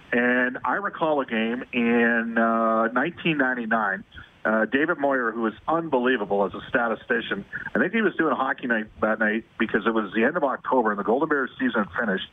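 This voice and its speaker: A male radio host asking long, rambling questions of his guest. His voice sits mid-pitch and comes through a tinny, dry phone line. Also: no room sound. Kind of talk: casual interview over the phone.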